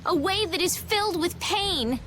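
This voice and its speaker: A woman voicing a gentle, earnest young princess, polite and pleading, in a video game cutscene. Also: very high voice, expressive, moderate pace, slight background noise, full character voice. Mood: resolute, grieved.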